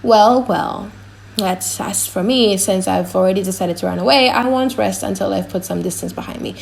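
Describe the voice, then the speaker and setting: A woman's voice: medium pitch, moderate pace, creaky, a little mellow, slight background noise, natural voice, low-quality recording. A woman voicing a mischievous, cocky, chatty young male servant in a dramatized audiobook, teasing and mock-grand. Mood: exasperated.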